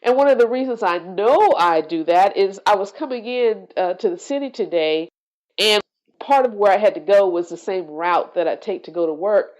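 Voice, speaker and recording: A woman hosting a solo podcast, sounding congested and nasal from allergies, talking in a slow, reflective way. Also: medium pitch, animated, darkish tone, poor audio. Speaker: podcast host.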